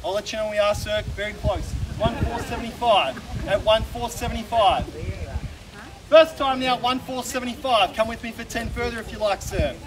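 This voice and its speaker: A man in his thirties with an Australian accent, calling a live house auction outdoors in brisk, persuasive patter that keeps repeating the bids. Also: very high voice. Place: outdoors on a suburban street in front of a house. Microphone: camera filming from some distance, no mic seen. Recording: distant voice with loud street and traffic noise.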